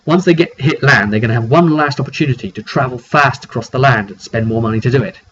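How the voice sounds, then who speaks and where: A dull, lo-fi recording with clear noise carries a man of middling pitch. He speaks as a game master recapping his campaign informally, leaning on key phrases and pausing for drama. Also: a bit bright. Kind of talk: informal video recap of a roleplaying session.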